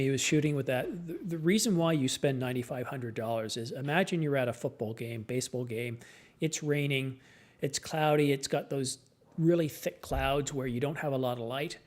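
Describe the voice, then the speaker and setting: A man's voice: mid-range voice, a little mellow. Tired man hosting a late-night stream. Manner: reads and answers viewer comments, chatty. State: tired, losing his voice. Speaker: live stream host.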